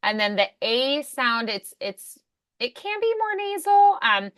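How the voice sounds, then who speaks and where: Slow-paced woman coaching voice acting. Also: fairly high pitch, big pitch swings, a bit bright, no echo, studio quality. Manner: demonstrates sounds, encouraging. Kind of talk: conversational one-to-one lesson.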